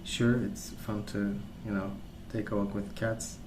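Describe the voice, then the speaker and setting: A man speaking softly in a calm, gentle, unhurried way, rambling in a casual ASMR storytelling style. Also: on the low side. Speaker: ASMR video creator. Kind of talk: soft-spoken ASMR rambling. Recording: roomy sound with clear background noise.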